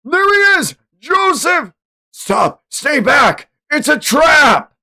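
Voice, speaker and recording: Man performing manga hunter, gruff, confident, terse, commanding, unhurried pace. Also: very high voice, big pitch swings, sharp tone, pitched above the performer's own voice, full character voice. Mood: alarmed shouting.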